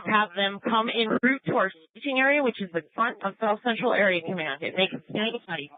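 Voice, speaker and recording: A police incident commander giving measured orders and updates over a radio. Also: mid-range voice, dry recording. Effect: police radio transmission. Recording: tinny narrow-band police scanner audio.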